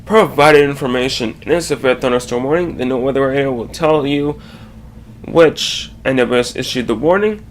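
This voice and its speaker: A man narrating a scripted slide presentation in a halting way, often stumbling and correcting himself. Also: medium pitch, slight background noise.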